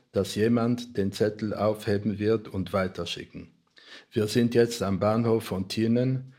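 A man reading a personal letter from a script in a measured, restrained manner. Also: on the low side, a little mellow. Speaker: reader of historical letters.

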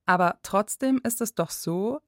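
A woman's voice: medium pitch, unhurried, no echo, studio quality. A woman reporter reading scripted narration for a radio feature.

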